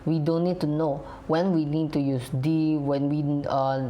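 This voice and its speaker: A man's voice: a little high, flat delivery, lo-fi sound. A young man with an Indonesian accent talks to camera in English, stopping and restarting often with "uh" and "like" as he explains. The recording carries a faint background hiss. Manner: halting, many fillers and restarts. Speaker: reaction video host.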